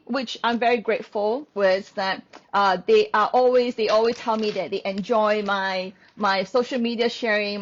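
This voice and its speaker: A woman's voice: mid-range voice, highs cut off. A woman hosting a casual livestream, rambling along with plenty of fillers and restarts. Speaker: livestream host. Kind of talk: casual live-stream chat.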